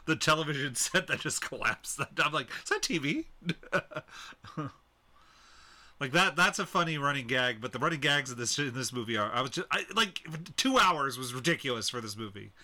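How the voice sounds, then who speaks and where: Guy on a movie podcast, really bright crisp tone, joking around and laughing a lot. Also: medium pitch, expressive, normal speed. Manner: sarcastic, laughs often. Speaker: podcast co-host. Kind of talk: casual chat.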